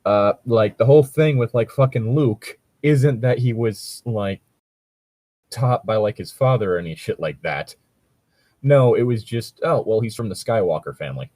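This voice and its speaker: Male podcast co-host, fairly deep, halting and sweary off-the-cuff commentary, very little room sound. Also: expressive, warm tone, no room sound. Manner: hesitant, filler-heavy, casually sweary. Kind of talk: casual chat commentary.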